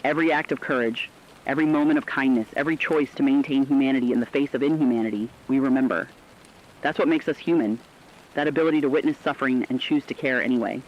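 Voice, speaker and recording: Guy playing an earnest radio co-host during the end of the world, solemn speechy delivery, medium pitch, kinda muffled lofi audio. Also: fast talker, natural voice. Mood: solemn, moved. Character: solemn, humane male radio commentator in an apocalypse. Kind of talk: scripted emergency broadcast commentary. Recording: dull, lo-fi radio sound.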